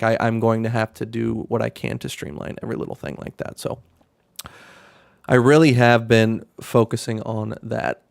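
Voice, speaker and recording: A young man hosting a casual live stream, talking in a rambling, monotone way with many fillers and restarts. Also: lowish voice, gravelly, dry recording, clean studio sound. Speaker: live stream host, card seller.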